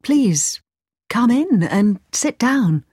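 Woman acting a gentle, measured storybook mother. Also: fairly high pitch, sing-song, husky, dry recording, studio quality, voice pushed up, full character voice. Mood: polite surprise. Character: courteous, cautious mother of a teenage boy.

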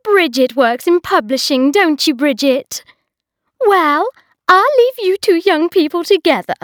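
Woman narrator doing pushy middle-aged hostess in a storybook; loud, high, gushing. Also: expressive, unhurried, no echo, studio quality, heavily acted.

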